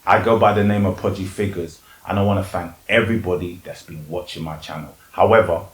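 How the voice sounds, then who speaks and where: A podcast host with a fairly low-pitched voice and a laid-back, easygoing way of talking. He keeps the interview casual and friendly. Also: steady tone, brisk.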